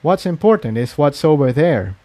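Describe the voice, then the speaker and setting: Man as a gentle, confident male pony adventurer. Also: on the high side, sing-song, normal speed, dry recording. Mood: calm.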